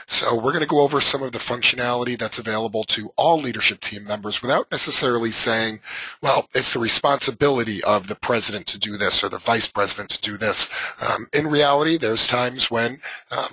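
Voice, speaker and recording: A man hoarse from laryngitis, with a scratchy voice, presenting a live training webinar in a conversational, hesitant way with many filler sounds. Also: mid-range voice, sharp tone, dry recording.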